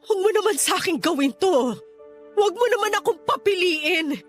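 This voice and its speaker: Guy doing a hurt young mom in a radio drama, really high pitched, accusing and pleading, faint music. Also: animated, a little fast, a bit bright. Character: hurt, protective young mother confronting her husband. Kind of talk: Tagalog radio drama acting.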